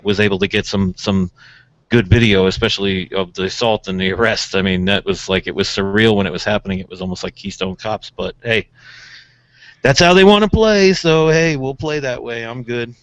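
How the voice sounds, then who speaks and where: A man's voice: on the low side, brisk, fairly bright tone, no room sound. An American man hosting a radio show in casual chat, rambling informally through his stories. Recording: dull, lo-fi audio.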